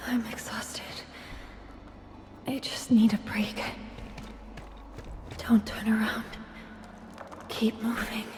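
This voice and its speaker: A woman's voice: a little high, flat delivery, takes it slowly, long pauses, in a whisper. An actress voicing the ghostly echo of a female disaster victim in a video game, airy, weary and plaintive, drenched in long reverb. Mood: exhausted, urgent. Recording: long cavernous reverb, music behind.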